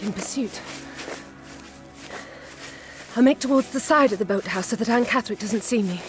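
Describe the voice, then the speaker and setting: An actress with an English accent playing a resolute, protective Victorian gentlewoman in a radio drama, speaking earnestly and formally. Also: fairly high pitch. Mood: anxious, searching. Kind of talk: radio drama acting with first-person narration.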